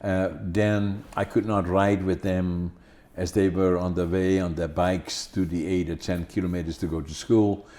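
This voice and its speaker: Older Dutch-accented man, deep and mellow. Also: little pitch movement. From Netherlands. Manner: halting, many restarts and fillers. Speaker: podcast host. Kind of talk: casual conversation.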